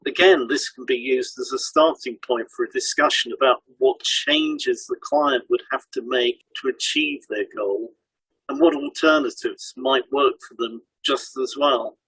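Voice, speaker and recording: A man's voice: medium pitch, a little slow, a bit bright, breathy edge, dry recording, low-quality recording. A man co-hosting a podcast, reading scripted instructional narration. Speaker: podcast co-host, hypnotherapist.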